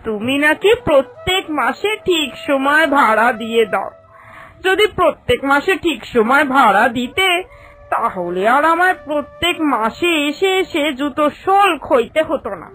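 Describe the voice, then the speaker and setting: A woman's voice: high-pitched, expressive, moderate pace, fairly bright tone. A woman voicing a sarcastic, hectoring old landlady in a cartoon, scolding and threatening her tenants. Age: older. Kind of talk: dramatic cartoon voice acting.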